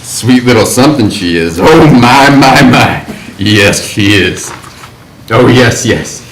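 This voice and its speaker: A man's voice: medium pitch, takes it slowly, a little hiss or hum. A man playing a jazzy hipster writer. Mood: jazzy, excited. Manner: jazzy, slangy, animated. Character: exuberant slangy 1950s hipster writer man. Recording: roomy echo.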